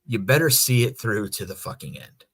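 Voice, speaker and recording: A man with a somewhat low-pitched voice co-hosts a podcast. His delivery is informal, conversational chat. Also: sing-song, normal speed, fairly bright tone. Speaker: podcast co-host. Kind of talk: casual chat.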